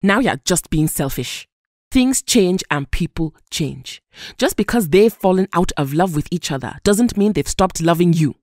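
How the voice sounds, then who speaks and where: A woman playing a calm, motherly heroine in an audio drama, soothing and measured, firm but kind. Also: fairly low pitch, dry recording, studio quality, lightly acted. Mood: firm, reassuring.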